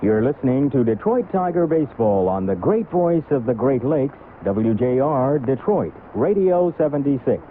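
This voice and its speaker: A man's voice: medium pitch, expressive, normal speed, hardly any pauses, slight background noise. An American man reading a formal radio station identification as an announcer. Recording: tinny old AM radio.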